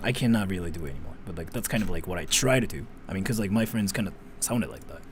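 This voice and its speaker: A man from Japan hosting a livestream, chatting casually as he reads viewer comments, animated and defensive, with lots of restarts. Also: low-pitched, quick pace, slight background noise. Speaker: livestream host.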